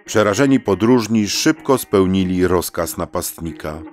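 A male storyteller with a fairly low voice reads scripted storybook narration. Soft plucked guitar music plays faintly behind him. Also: expressive, a little slow.

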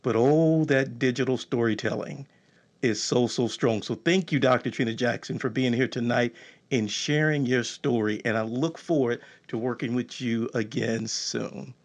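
A man's voice: mid-range voice, moderate pace. A man hosting a casual podcast interview, enthusiastic and full of eager questions. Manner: enthusiastic, excitable, asks many questions. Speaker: podcast host.

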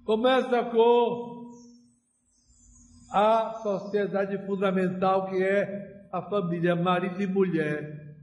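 Very slow, high-pitched Brazilian male preacher. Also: steady tone, darkish tone. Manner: solemn, deliberate, with long pauses between short phrases. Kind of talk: homily. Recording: roomy, echoing church-like sound.

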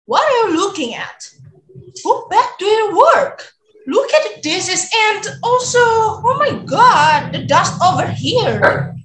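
A woman's voice: very high-pitched, very animated, slow pace, fairly bright tone, slight background noise, exaggerated voice. A woman playing a cruel, bossy stepmother who dotes on her daughter, in a readers theater. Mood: scornful, bossy. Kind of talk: readers theater acting on video call. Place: indoors on a video call. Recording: roomy echo, background music.

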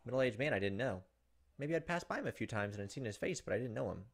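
A man, perhaps in his thirties, reading visual novel narration aloud and commenting, with dramatic, halting delivery. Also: mid-range voice, expressive. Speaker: gaming video host. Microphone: desk microphone close up. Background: game music.

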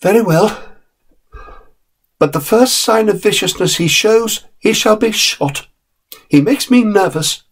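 A man's voice: high voice, expressive, unhurried, pauses often, slightly rough, pitched above the performer's own voice, heavily acted. A man doing a fretful rich mother. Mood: worried. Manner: fretful, worried. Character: anxious wealthy mother, nervous and disapproving.

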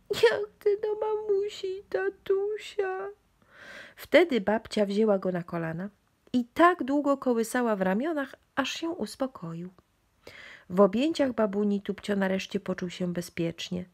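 A woman's voice: mid-range voice, a little mellow. A woman narrating a bedtime storybook expressively for young children.

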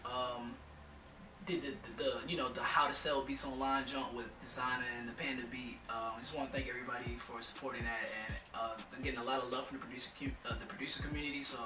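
A hoarse young man in his twenties giving blunt, profane advice to music producers in a casual home studio vlog. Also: medium pitch, echoey room, distant mic. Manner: blunt, swears freely, lots of "you know what I'm saying".

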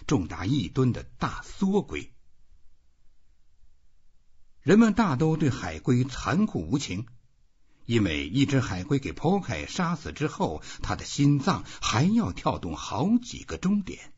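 Husky man reading with big pitch swings. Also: a little mellow. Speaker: audiobook reader. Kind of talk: expressive literary audiobook narration. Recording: dull, muffled lo-fi audio.

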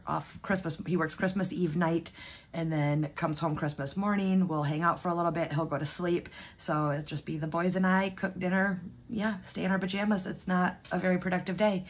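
A woman's voice: lowish voice, husky. A woman in her thirties chatting at home in a budgeting vlog, losing her voice, rambling with ums and restarts. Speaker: budgeting vlogger. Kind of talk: casual chat to camera.

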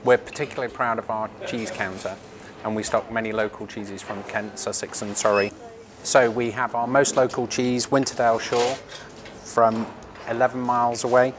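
A middle-aged man who owns a farm shop, speaking in an earnest, measured way with frequent fillers in a promotional interview, recorded indoors in the shop. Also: mid-range voice, flat delivery, background noise. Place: indoor shop by the cheese counter.

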